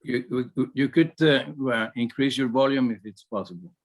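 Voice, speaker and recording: Webinar moderator, mid-pitched man on a video call, brief friendly replies. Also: normal speed. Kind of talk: casual.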